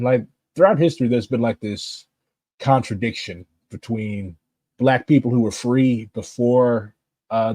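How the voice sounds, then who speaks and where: A man's voice: lowish voice, dark tone, dry recording. A man with a St. giving blunt, impassioned political commentary. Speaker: political activist.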